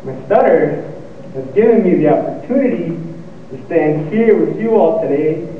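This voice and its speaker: A man's voice: a little high, expressive, a little hiss or hum. Young man who stutters, echoey hall. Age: young adult. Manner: stuttering, pausing and repeating words. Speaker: student speaker. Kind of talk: motivational speech. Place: lecture hall. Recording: echoey hall, tinny and far off.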